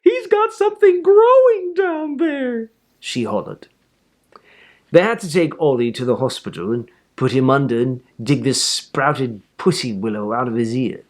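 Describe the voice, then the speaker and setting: A man reading a children's book aloud in an animated, expressive storytelling manner. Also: mid-range voice, normal speed. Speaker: story reader.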